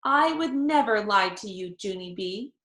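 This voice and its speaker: A woman voicing a kindly, patient grandfather in a children's storybook, coaxing and gently reassuring. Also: mid-range voice, expressive, measured pace, reverberant. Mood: earnest, reassuring.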